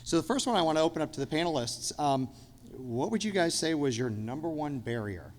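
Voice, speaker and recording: A man's voice: on the high side, expressive, fairly quick. A man moderating a conference panel in a friendly, orderly way, thanking panelists and taking questions. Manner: friendly, orderly, some fillers. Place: conference hall. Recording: echoey conference hall.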